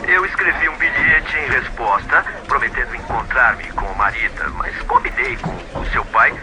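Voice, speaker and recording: A man's voice: medium pitch, animated, measured pace, noisy background, lightly acted. A man playing a callous, smug young schemer in an audio drama, mocking and conspiratorial in manner.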